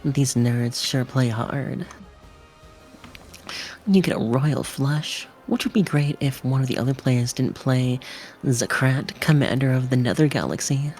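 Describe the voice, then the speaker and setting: A streamer reading a visual novel game aloud in a lively narrating style. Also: mid-range voice, unhurried, soft background music.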